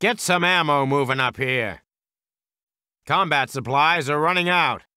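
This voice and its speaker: An actor playing a gung-ho American World War Two heavy weapons soldier in a strategy game, with a clipped military delivery. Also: male voice, fairly high pitch, measured pace, bright, forceful tone, smooth, no room sound, studio quality. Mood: confident, brisk.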